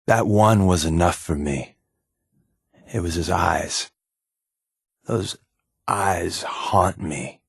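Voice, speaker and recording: An actor playing a wry, guarded undercover resistance agent in a video game, conversational and confiding, with a slightly husky voice. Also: male voice, deep voice, very slow, pauses often, dry recording, studio quality, full character voice. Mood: somber, haunted. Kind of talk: naturalistic video game companion dialogue.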